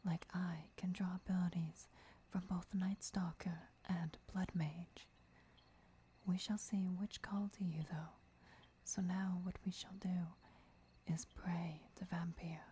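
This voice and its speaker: A woman playing a devoted, gentle vampire mentor and lover in a breathy, whispered ASMR roleplay, instructing in a soothing way. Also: lowish voice, slight background noise, close to an everyday voice.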